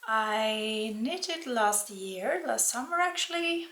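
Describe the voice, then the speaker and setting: A Norwegian woman speaking English as a second language, talking gently and unhurriedly in a casual knitting vlog. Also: on the high side, expressive, roomy sound. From Norway. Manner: soft-spoken, gentle, unhurried with fillers. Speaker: knitting vlogger. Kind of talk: casual chat to camera.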